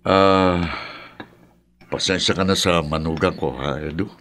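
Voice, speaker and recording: A man's voice: low-pitched, fairly even intonation, a little slow, fairly bright tone. A man playing a concerned, apologetic middle-aged father in a radio drama. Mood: courteous. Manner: apologetic, courteous.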